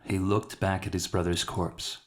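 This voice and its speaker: A man's voice: low-pitched, flat delivery, a little slow. A man reading scripted fiction as the narrator of his own short story.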